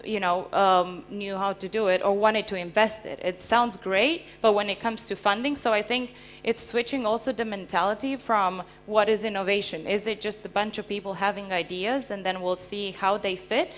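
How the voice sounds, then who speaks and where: A woman's voice: medium pitch, fairly even intonation, normal speed, a little hiss or hum. A woman from Romania speaking as a panel guest, explaining things conversationally and often checking in with rhetorical questions. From Transylvania, Romania. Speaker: invited panel speaker, company founder. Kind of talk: panel interview, explanatory anecdotes. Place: live conference panel before an audience.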